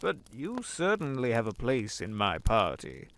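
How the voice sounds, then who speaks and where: A man's voice: medium pitch, huge pitch swings, measured pace, gravelly, a bit bright, a little hiss or hum, full character voice. A man playing an urbane, sly old devil in an audio drama, wordy and courteous, savoring his phrases. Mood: musing, admiring. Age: older.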